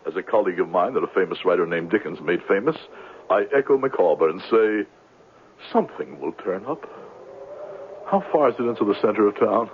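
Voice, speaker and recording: Grandiloquent con man, swooping pitch. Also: big pitch swings, fairly quick. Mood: grandly persuasive. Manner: florid, pompous, theatrical phrasing. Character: smooth-tongued, grandiloquent male con man and medicine-show pitchman. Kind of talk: 1970s radio drama acting. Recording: tinny, noisy 1970s radio recording.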